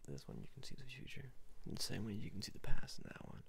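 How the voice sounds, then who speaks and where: A man playing a rambling, shady salesman of magical rings in a hesitant, offhand, soft-spoken ASMR roleplay. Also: on the low side, big pitch swings, fast talker, creaky, slight background noise, voice pushed down, heavily acted. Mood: offhand, mysterious.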